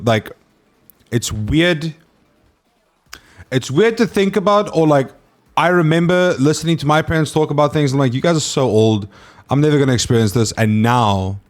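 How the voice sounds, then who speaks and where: A man in his late twenties, hosting a relaxed live stream and chatting to his viewers. He talks loosely and swears freely, and his voice sits a little low. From South Africa. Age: 20s. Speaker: streamer host. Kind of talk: casual stream chat.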